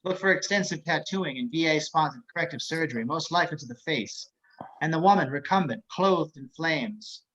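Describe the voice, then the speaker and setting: A man playing a cultured, menacing killer psychiatrist in a dramatic table read, measured and taunting, with theatrical pauses. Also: a little high, expressive, a bit bright. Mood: coolly superior. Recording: dull lo-fi video call.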